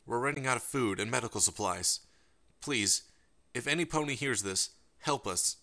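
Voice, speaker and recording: A man's voice: medium pitch, animated, normal speed, sharp tone, voice pushed up. A man voicing a desperate, weary pony parent pleading for help in a radio distress broadcast within an audiobook story. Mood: resigned pleading. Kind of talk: dramatic audiobook character reading.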